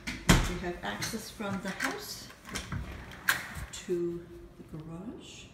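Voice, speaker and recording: A woman narrates a property tour at an unhurried pace, pausing often between short phrases. She speaks as a rental agent walking through an empty house. Her phone picks up a strong room echo and clear background noise. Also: on the low side, lively intonation. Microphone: handheld phone behind the camera.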